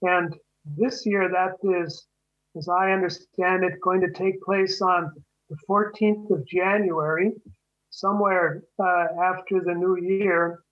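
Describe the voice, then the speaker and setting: A man explaining an election process slowly and deliberately over a video call. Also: sits high, flat delivery, dull audio. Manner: slow, deliberate, careful. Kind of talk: measured explanation.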